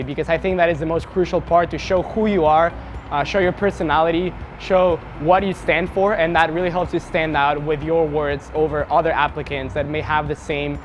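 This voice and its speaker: Young man from Mexico City, fairly high voice. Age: young adult. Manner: relaxed, with frequent "uh" fillers. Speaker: university student. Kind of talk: testimonial to camera.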